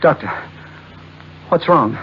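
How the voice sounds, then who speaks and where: An actor playing a devoted husband in 1940s radio drama, anxious and distraught, stammering urgently with worry. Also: male voice, on the high side, lively intonation, slow. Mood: alarmed. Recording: muffled old radio recording with hum.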